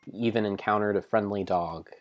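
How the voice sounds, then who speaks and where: A man reading mock-dramatic storybook narration of a game, in a theatrical, solemn way with deliberate pauses. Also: on the low side, fairly even intonation, soft background music. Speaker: live streamer narrating a game.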